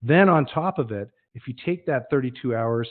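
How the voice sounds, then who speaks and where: A man giving an informal online software sales demo, explaining conversationally and leaning on key phrases. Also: mid-range voice, animated, moderate pace. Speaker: product presenter. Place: online web demonstration call. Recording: muffled phone-like narrow-band audio.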